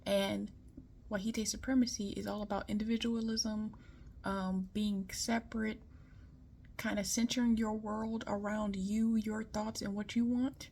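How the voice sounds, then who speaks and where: A woman from Detroit with a light Southern accent speaks in a casual, opinionated monologue. Her delivery stays fairly level in pitch, with a gravelly fry under many words. She sounds frank and conversational. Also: flat delivery, a little slow, a little mellow. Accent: light Southern American. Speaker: commentator.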